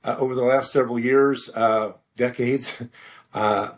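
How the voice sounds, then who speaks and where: A man in his sixties talking casually as an interview guest, halting and rambling, often repeating words as he goes. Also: mid-range voice, steady tone. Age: 60s. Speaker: podcast guest, science fiction author.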